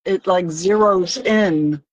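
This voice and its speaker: A woman's voice: fairly low pitch, slow pace, dry recording, rough recording. A woman hosting a casual live crafting chat on a video call, talking in a rambling, hesitant way. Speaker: host crafter.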